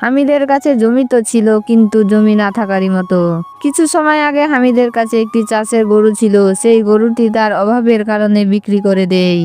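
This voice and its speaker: A woman's voice: a little high, fairly quick, dark tone, clean tone, faint music behind. A woman narrating a scripted cartoon story as its storyteller.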